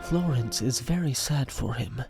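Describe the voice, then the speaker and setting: A man's voice: mid-range voice, lively intonation, unhurried, creaky. Male narrator with music behind. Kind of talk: scripted audio drama narration. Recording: music under the voice.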